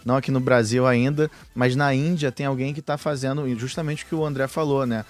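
A man's voice: mid-range voice, fairly even intonation, a little fast, soft background music. A man co-hosting a casual technology podcast, explaining at length with frequent fillers and restarts. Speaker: podcast co-host. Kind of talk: casual tech podcast chat.

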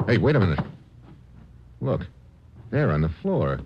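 An actor playing a tough, wry American adventurer in a 1950s radio drama, narrating and talking in terse, deadpan lines. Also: male voice, low voice, measured pace, muffled recording, background noise, heavily acted. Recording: old radio recording with music.

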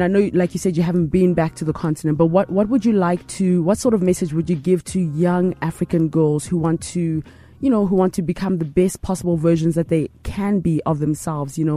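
A woman's voice: low voice, warm tone, dry recording. A woman hosting an interview, chatty and affirming, with many "you know" fillers as she asks questions. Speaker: interviewer, host. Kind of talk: casual conversational interview.

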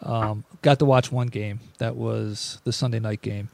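A man's voice: fairly low pitch, fairly even intonation, measured pace, vocal fry, darkish tone, no room sound. A man hosting a sports podcast, chatting casually and rambling a little. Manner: loose, rambling with fillers. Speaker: podcast host. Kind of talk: casual chat.

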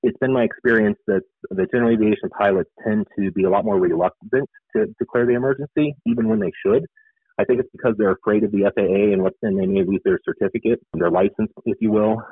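A man's voice: lowish voice, lively intonation, quick pace, breathy edge, dry recording. A man giving informal, explanatory answers as a guest in a radio interview, often rephrasing himself. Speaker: interview guest, author and air traffic controller. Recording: narrow phone-like line.

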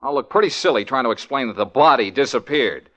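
An actor playing a brash, wisecracking young private detective in a 1940s radio drama. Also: male voice, mid-range voice, lively intonation, quick pace, a bit bright, lightly acted. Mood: flustered. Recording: dull 1940s radio broadcast.

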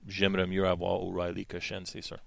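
A man, debating hockey trades on a radio show, halting and repeating words as he thinks. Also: low voice, monotone, vocal fry, fairly bright tone, dry recording. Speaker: hockey panelist. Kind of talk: casual sports debate.

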